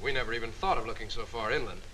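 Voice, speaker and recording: An actor as a skeptical wartime intelligence officer. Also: male voice, medium pitch, rapid-fire, pushed and bright, close to an everyday voice, low-quality recording. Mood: probing. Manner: dry, questioning, slightly wry. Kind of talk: 1940s training film acting. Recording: 1940s film soundtrack with faint hiss.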